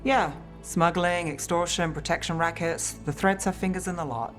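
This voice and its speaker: A woman's voice: on the low side, steady tone, normal speed, a bit bright, natural voice. An actress playing a dry, world-weary woman detective in a video game, wry and understated, with music behind her. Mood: wry, businesslike.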